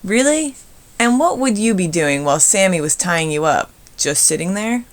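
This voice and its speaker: A woman voicing a calm, encouraging father in a storybook, patient and gently questioning. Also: on the low side, moderate pace. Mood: curious.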